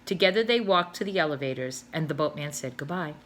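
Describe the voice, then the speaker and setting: A woman, a teacher, reading a children's novel aloud with expressive storytelling. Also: deep voice, quick pace.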